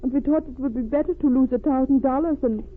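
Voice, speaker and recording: An actress playing a distraught refugee woman, speaking haltingly with foreign word order in an old radio drama. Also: sits high, lightly acted. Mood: anguished. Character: distraught refugee wife haunted by a smuggler's crime. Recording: tinny, noisy vintage radio recording.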